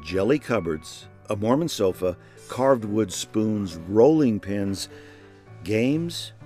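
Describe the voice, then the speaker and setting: Male documentary narrator for a travel show. Low, expressive read over a clear music bed. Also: takes it slowly. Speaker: narrator of a heritage travel program. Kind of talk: scripted narration. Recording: music under the voice. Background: background music.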